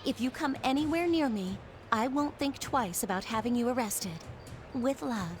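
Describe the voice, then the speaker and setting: A woman's voice: a little high, lively intonation, normal speed, music in the background, lightly acted. A woman voicing a young, cold and arrogant empress in a game, speaking in a haughty, dismissive way. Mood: coldly warning.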